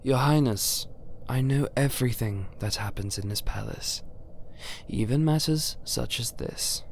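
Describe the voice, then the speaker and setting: A man's voice: mid-range voice, measured pace, creaky. A man playing a teasing, loyal royal confidant in an audio roleplay, speaking with wry deference. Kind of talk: scripted audio roleplay acting.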